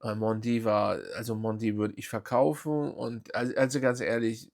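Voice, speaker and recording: A man's voice: medium pitch, flat delivery, normal speed, gravelly, a little mellow. A man hosting his own football fan channel, giving rambling casual commentary with many fillers and restarts.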